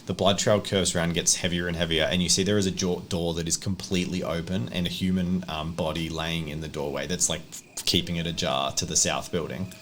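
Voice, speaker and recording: A man's voice: low-pitched, flat delivery, fairly quick, fairly bright tone. A man narrating a tabletop roleplay as game master, improvising as he goes. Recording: noisy room ambience.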